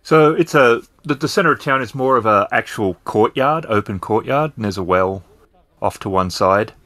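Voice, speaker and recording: A man's voice: medium pitch, normal speed, pushed and bright, no room sound. A man narrating a tabletop roleplaying game as the storyteller, describing scenes in a hesitant, unscripted way.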